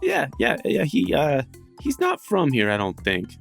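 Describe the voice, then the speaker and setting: A man's voice: fairly high pitch, huge pitch swings, vocal fry, faint music behind, full character voice. Man playing a stammering, naive young desert outsider. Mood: sheepish, halting. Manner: halting, stammering.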